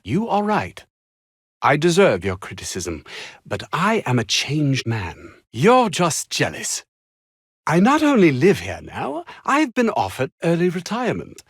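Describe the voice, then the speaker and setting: A downtrodden video game scientist with a fairly high, husky voice. His pitch swings very widely as he delivers formal, wordy lines in a haughty yet anxious, self-pitying manner. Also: no echo, clean studio sound, exaggerated voice. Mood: guarded, then smug. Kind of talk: video game character dialogue.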